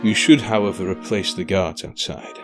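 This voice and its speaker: A man playing a weary, dignified older king and gentle father in an audio drama, formal and measured. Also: deep voice, music in the background. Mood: grave, reassuring.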